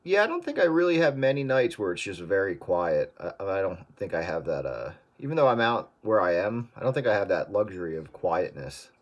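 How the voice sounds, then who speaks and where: Casting: easygoing male caller, low-ish voice, halting and relaxed. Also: lo-fi sound. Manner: relaxed, hesitant, many fillers. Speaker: radio caller. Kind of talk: casual radio chat.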